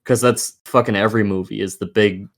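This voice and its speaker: A man's voice: on the low side, steady tone, fairly quick. A man co-hosting a casual podcast, ranting in a profane, exasperated and emphatic way. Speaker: podcast co-host. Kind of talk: casual opinionated rant about games and films.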